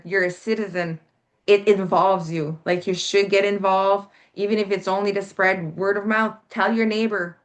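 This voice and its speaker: A woman's voice: medium pitch, a bit bright. Earnest woman guest on a podcast. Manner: earnest, emphatic, repeats her points. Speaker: guest, health coalition organizer. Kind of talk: casual interview talk.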